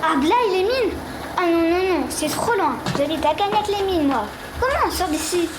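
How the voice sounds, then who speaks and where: A female performer playing a wary young child from a mining village in a radio fiction, recorded amid loud noise. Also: very high voice, expressive, moderate pace. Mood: wary, protesting. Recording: very noisy location sound, ambience.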